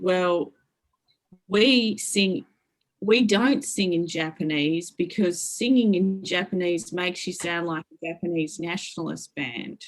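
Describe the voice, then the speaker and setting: A woman's voice: fairly low pitch, low-quality recording. A middle-aged woman lecturer being interviewed, thinking aloud with many fillers, restarts and hedges as she explains. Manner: thoughtful, lots of fillers and restarts. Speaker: interview guest, media lecturer. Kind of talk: academic interview talk.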